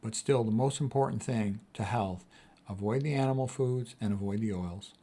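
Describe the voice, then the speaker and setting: A man's voice: lowish voice, moderate pace, dark tone. A man of sixty, a physician, reading quotes aloud and commenting on them in an emphatic, casual way, often repeating his key points. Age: 60s. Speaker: physician host.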